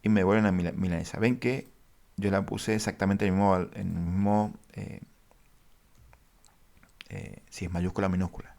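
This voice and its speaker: A low, gravelly man walks through a database lesson at his computer. He talks haltingly, with frequent fillers, and addresses his viewers formally. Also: steady tone, a little mellow. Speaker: database instructor. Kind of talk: screen-share coding lesson.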